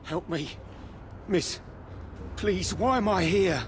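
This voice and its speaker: An actor playing a starved, fearful prisoner pleading for help in a video game. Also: male voice, sits high, takes it slowly, background noise. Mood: frightened pleading.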